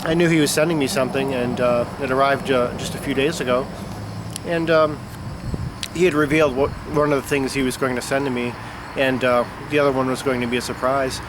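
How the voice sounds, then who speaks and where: A man's voice: medium pitch, steady tone, fairly quick. A man with a stuffed-up nose, chatting casually about music outdoors and rambling with many fillers. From Chicago area. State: congested, stuffed up. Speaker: record-collecting vlogger. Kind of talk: casual unscripted chat to camera. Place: outdoors on a summer afternoon. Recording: outdoor insect and traffic noise.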